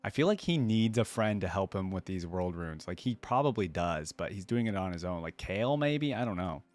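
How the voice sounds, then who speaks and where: A man with a fairly low voice gives casual reaction commentary. He muses in short remarks and echoes what he has just heard. Faint music sits behind him. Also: lively intonation, brisk, a little mellow. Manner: brief musing interjections, echoing what he hears. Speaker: reaction video host.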